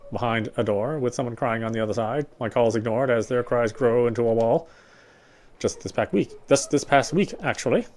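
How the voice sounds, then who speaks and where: A man streaming a game, reading its text aloud as measured, dramatic narration with occasional stumbles. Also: mid-range voice, darkish tone. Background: game music.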